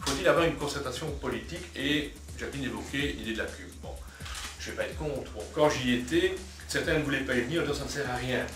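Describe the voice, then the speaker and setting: Male debater, politician, long-winded and argumentative, mid-pitched, in an echoing room. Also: fast talker, over music. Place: cafeteria. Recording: roomy, distant mic, noisy with clicks.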